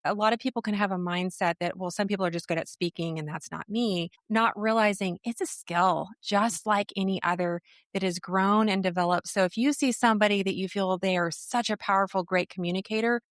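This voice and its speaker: A middle-aged woman with an American accent speaks as an interview guest, articulate and enthusiastic in the way of a practiced coach. Her pitch sits in the middle range, and the sound is dry, with no room echo. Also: brisk, hardly any pauses. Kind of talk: podcast interview, conversational explaining.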